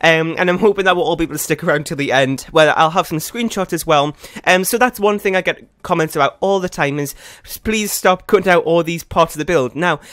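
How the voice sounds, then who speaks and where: Fast-talking young man, croaky morning voice. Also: a little high, a bit bright, no room sound, studio quality. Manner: fast, rambling talk with many fillers. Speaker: game building YouTuber. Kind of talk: casual gameplay voiceover. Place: his bedroom at home.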